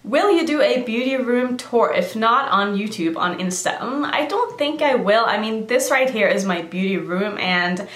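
A woman's voice: a little high, animated, normal speed, hardly any pauses, fairly bright tone. Chatty woman in her thirties, echoey room. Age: 30s. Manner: chatty, lots of "like" fillers. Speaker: beauty vlogger. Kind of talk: casual Q&A vlog. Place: decorated room indoors. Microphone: camera framing head and shoulders.

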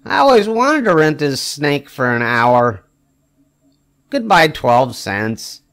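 Older man reading a storybook, slightly high voice. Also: big pitch swings, a bit bright. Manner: emphatic, with drawn-out wry punchlines. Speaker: storybook reader. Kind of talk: expressive read-aloud of a children's picture book.

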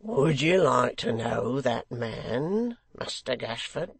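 Casting: male reader as rough storybook hangman, hoarse growl, sly and over-familiar, unhurried delivery. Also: mid-range voice, sing-song, fairly bright tone, no room sound, full character voice. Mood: gleeful, confiding. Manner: cockney-style dropped grammar, chuckling familiarity. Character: coarse, sly, eager middle-aged male hangman.

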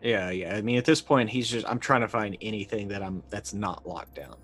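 A man's voice: lowish voice, brisk, a bit bright. A middle-aged man playing a tabletop roleplaying game, casually improvising what his character does, hesitant and muttering as he thinks. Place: indoor room, online video call. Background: faint music.

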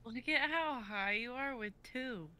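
A woman playing a dry, dismissive stoned character in an amateur script reading, blunt and deadpan. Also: a little high, measured pace, clear, resonant tone. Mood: dry teasing. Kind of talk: amateur dramatic script reading over video call.

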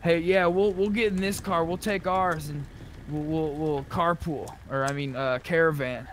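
A man's voice: on the high side, moderate pace. A man chatting casually with other players during an online roleplay game. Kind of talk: casual gaming chat with in-character roleplay. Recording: faint background noise, game ambience.

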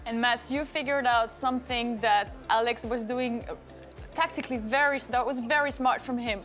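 High-voiced young woman studio presenter. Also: steady tone, moderate pace, soft background music. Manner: bright, asks questions, laughs easily.

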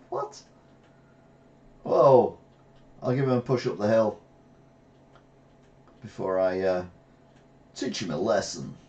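A middle-aged English man streaming a retro racing game, reacting excitably and trash-talking rivals from a gaming chair at home. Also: lowish voice, slow, pauses often, highs cut off. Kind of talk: live gameplay commentary. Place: small room at home, seated in gaming chair.

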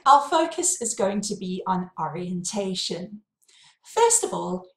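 A woman in her thirties with a South African accent, a university lecturer giving a clear, friendly welcome to her course from a home study, recorded on a webcam. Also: medium pitch, echoey room, poor audio. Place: home study with bookshelves, indoors.

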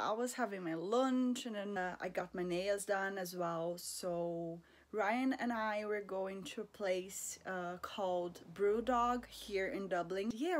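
A woman in her thirties chatting casually to camera for her vlog, bubbly and laughing often. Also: medium pitch. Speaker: vlogger. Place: small room at home, indoors. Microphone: camera held at arm's length.

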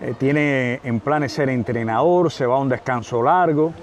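A man's voice: mid-range voice, measured pace. A middle-aged Cuban man presenting and interviewing on a television sports programme.

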